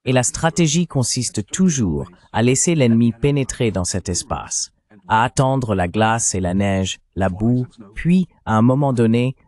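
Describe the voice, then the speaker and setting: A man's voice: lowish voice, a little mellow. An AI male voice reading a translated voice-over of a journalist giving political analysis as an interview guest. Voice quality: synthetic AI voice.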